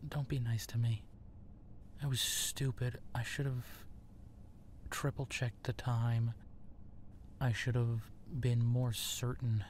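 A man playing a gentle, awkward, self-deprecating werewolf friend in an intimate ASMR audio drama, speaking softly and haltingly. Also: medium pitch, slight background noise, lightly acted. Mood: guilty, apologetic. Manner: hesitant, soft-spoken, halting, often slipping into whispers.

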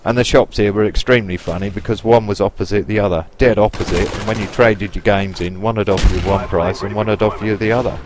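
A man's voice: on the low side, fairly even intonation, quick pace, hardly any pauses, noisy background. A man in his late forties with a Lancashire accent, chatting casually and rambling cheekily over his own video game footage. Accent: Lancashire English. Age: 40s. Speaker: gaming YouTuber. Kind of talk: casual gameplay commentary.

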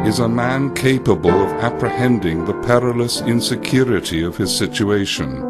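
Solemn low-voiced male narrator over music. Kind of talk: scripted reading of a written text.

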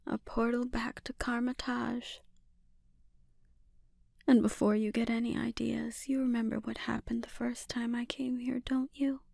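A woman playing a menacing, seductive sorceress and obsessive mother in a breathy, often whispered ASMR roleplay, speaking deliberately and with quiet threat. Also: on the high side, flat delivery, dry recording, studio quality, heavily acted. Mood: coolly threatening.

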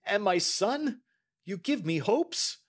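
Man putting on panicky banker guy, slow desperate pleading, way up high. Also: sing-song, exaggerated voice. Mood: distraught. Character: distraught middle-aged male banker.

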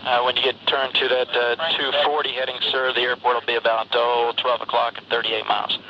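A man working as an air traffic controller, speaking in measured, procedural phrases over the radio. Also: mid-range voice, level tone. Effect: radio transmission. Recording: muffled, noisy air traffic radio recording.

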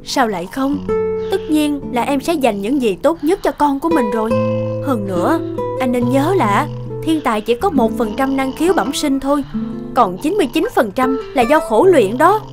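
A woman voicing a doting but stubborn, pushy mother in a cartoon, insistent and brisk. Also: high voice, lively intonation, loud music behind the voice. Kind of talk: Vietnamese cartoon dubbing.

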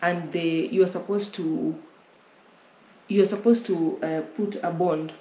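A woman's voice: on the low side, fairly even intonation, measured pace, dark tone. Kenyan woman giving road trip tips. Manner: repeats and rephrases while explaining. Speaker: travel vlogger host. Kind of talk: casual Q&A advice. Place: indoor living room, on a sofa. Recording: roomy with faint noise.

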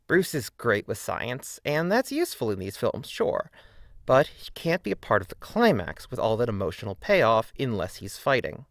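Lively male video essayist, dry sound. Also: fairly low pitch, creaky, dry recording. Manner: critical, argumentative, emphatic. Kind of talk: scripted video essay narration.

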